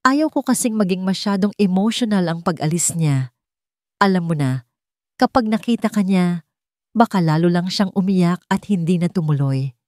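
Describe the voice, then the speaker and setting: A Filipino-accented woman voicing a calm, evasive wealthy wife in an audio story, reassuring smoothly in mixed English and Tagalog. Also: on the low side, moderate pace, no echo, clean studio sound, lightly acted. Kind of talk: audio story character dialogue.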